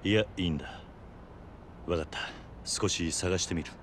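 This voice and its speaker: An actor playing a stern, tough, tired middle-aged man with a gruff, terse manner in a video game. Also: low voice, normal speed, noisy background, close to an everyday voice. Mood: troubled, restrained. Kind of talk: dramatic video game voice acting.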